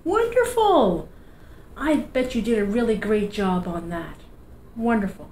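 A woman's voice: mid-range voice, big pitch swings, unhurried, a little mellow, roomy sound, a little hiss or hum. A middle-aged woman with a Newfoundland accent, a children's librarian leading a storytime at home with a cheerful, sing-song, encouraging manner. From Canada. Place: small indoor room, seated in an armchair. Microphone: fixed camera at a few feet.

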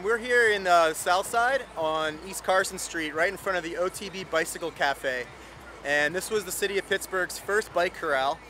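A man's voice: sits high, normal speed, pushed and bright, slight background noise. A man who advocates for cycling, talking casually with "um" fillers, on camera outdoors on a city street. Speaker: bike advocate.

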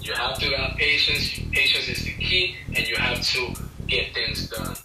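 Male, about thirty, casual slangy interviewee, distant from the mic in an echoing room, music clearly behind. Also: lowish voice, huge pitch swings, moderate pace. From Ecuador, moved to the US at twelve. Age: around 30. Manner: informal, slangy address. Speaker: interview guest, music A&R executive. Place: small indoor office. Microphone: video call, laptop distance. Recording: roomy, distant video-call audio with music behind.

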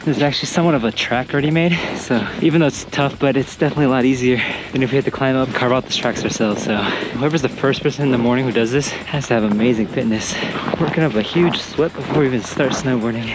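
A man's voice: medium pitch, fast talker, background noise. A young man narrating a casual travel vlog as he talks to an action camera. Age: young adult. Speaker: travel vlogger. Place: ski resort, partly outdoors on slopes, partly in a gondola. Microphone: action camera at arm's length. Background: music.

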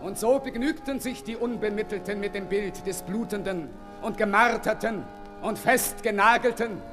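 An actor playing a bitter, accusing revolutionary orator, declaiming in a 1960s German radio play. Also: male voice, high-pitched, moderate pace, lightly acted. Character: accusing male revolutionary orator denouncing priests. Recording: long hall reverb, music.

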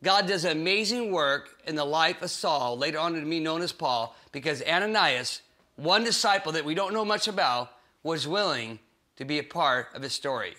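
Animated bright-voiced man teaching scripture. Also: a little high, moderate pace. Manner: animated, acts out voices in the story. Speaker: pastor. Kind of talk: small-group Bible teaching. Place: cozy living room set with bookshelves and sofa.

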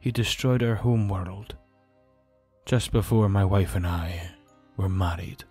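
Grave, injured nobleman for audio drama, played by a man; low-pitched, formal, solemn, faint music underneath. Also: slow pace, darkish tone, breathy edge, exaggerated voice. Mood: solemn, regretful.

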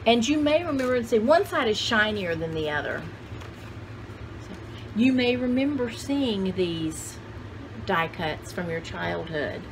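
Slow-talking older woman, noisy recording. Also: mid-range voice, sing-song. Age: older, about 60s. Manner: unhurried, conversational, thinking aloud. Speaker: vintage haul video host. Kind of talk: casual rambling show-and-tell chat. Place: small indoor room with cluttered shelves. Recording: clearly noisy home camera recording.

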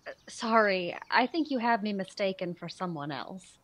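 An actress in a radio play playing a charming, youthful, breezy socialite with a casual, gushing way of talking. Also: female voice, medium pitch, lively intonation, moderate pace, a bit bright, dry recording. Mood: polite, puzzled.

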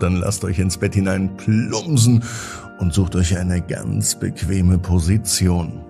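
A man narrating a scripted bedtime story for children in a gentle, drawn-out, expressive way. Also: deep voice, creaky.

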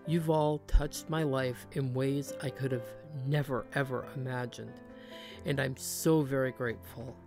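A woman in her thirties, a cleaning channel host, speaking in a soft, earnest, sincere voice-over. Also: rumbling low, fairly even intonation, unhurried, over music. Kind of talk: heartfelt voice-over narration.

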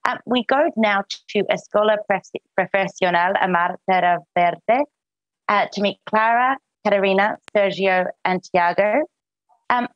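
A woman's voice: medium pitch, no room sound, lo-fi sound. A woman moderating an online webinar, friendly and a little hesitant, with frequent ums as she introduces guests.

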